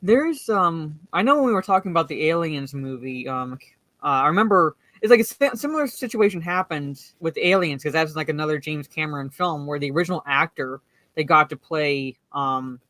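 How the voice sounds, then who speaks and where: Woman host, kinda deep voice, rambly, lots of likes, dead dry sound. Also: animated, a little fast, a bit bright, no echo. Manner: rambling, many restarts and "like" fillers. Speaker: podcast host. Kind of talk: casual chat.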